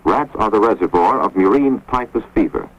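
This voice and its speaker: A man giving measured, grave scripted narration for an instructional film, recorded on a dull, lo-fi 1950s film soundtrack. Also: fairly low pitch, lively intonation, dry recording. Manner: measured, grave, authoritative delivery.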